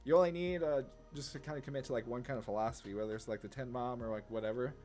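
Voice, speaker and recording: A man giving casual gameplay commentary, rambling informally as he thinks through each move out loud. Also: medium pitch, rapid-fire, faint music behind. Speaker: gaming content creator, player.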